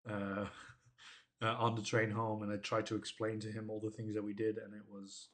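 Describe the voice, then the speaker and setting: A man with a low, rather flat voice chats on a casual podcast in English, his underneath. He wanders off on tangents and pauses to hunt for the right words. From Netherlands. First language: Dutch. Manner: tangential, laughs at himself, searches for words.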